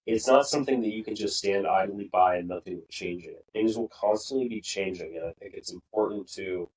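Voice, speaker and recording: A young man co-hosts a casual podcast with a somewhat low voice. He talks earnestly and with conviction, often restarting his sentences. He sounds a little distant from the microphone. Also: a little fast, darkish tone. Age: young adult. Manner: earnest, opinionated, rambling with restarts. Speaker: podcast co-host. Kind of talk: casual political discussion.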